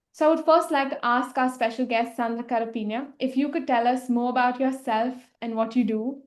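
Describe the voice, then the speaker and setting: A woman's voice: a little high, darkish tone, echoey room. A woman hosting a live online question session, giving a polished, formal scripted introduction. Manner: polished, formal and welcoming, reading a prepared script. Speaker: host.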